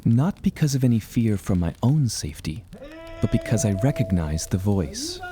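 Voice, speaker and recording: A man playing an earnest seafaring adventurer, narrating his voyage in a measured, formal storytelling manner. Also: on the low side, wildly swooping pitch. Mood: solemn. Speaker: narrator and protagonist. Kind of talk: scripted first-person adventure narration.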